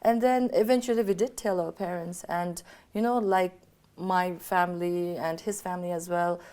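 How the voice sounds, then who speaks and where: A woman's voice: fairly low pitch, steady tone, normal speed, darkish tone, clear, resonant tone. A woman in her thirties from Bhutan with a Bhutanese accent, a guest speaking openly and chattily in an interview while her voice is partly gone from illness. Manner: open, chatty, frequent "you know". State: partly lost voice, sick. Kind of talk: candid personal interview.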